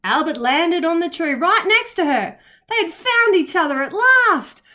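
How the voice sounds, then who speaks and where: A woman narrating a picture book for young children, with expressive, carefully phrased storytelling. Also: very high-pitched, a little slow.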